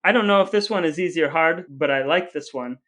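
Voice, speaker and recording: A man with a voice a bit higher than most hosts a casual talk show. He jokes and teases in relaxed back-and-forth, playing the part of quizmaster for his co-host. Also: fast talker. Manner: joking, teasing. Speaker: podcast host, playful quizmaster. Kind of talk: casual banter between brothers.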